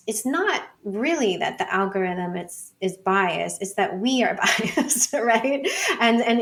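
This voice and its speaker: A woman with a mid-range voice speaks as a guest in a conversational interview. She works through her ideas as she goes, in an informal and discursive style with halting phrasing. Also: mid-range voice, gravelly. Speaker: podcast guest, data and feminism scholar. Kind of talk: conversational interview, thinking aloud.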